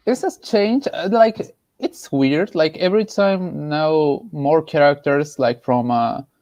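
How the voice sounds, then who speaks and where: A man from Peru with a Peruvian Spanish accent, giving casual opinions as a panelist in an emphatic, halting way, often repeating phrases. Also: fairly high pitch, darkish tone, no echo. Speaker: panelist, artist.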